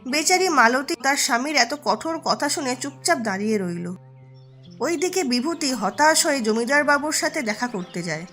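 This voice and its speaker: Woman storyteller reading narration, high voice, background music. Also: lively intonation, moderate pace, a bit bright.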